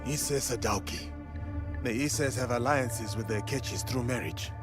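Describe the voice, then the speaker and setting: Man acting composed samurai, medium-pitched, formal concise speech, courteous, game cutscene, music behind. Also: moderate pace, lightly acted. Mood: thoughtful.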